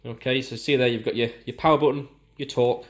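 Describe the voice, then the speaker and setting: A man's voice: medium pitch, steady tone, fast. British man giving a casual product review. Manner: informal, explanatory.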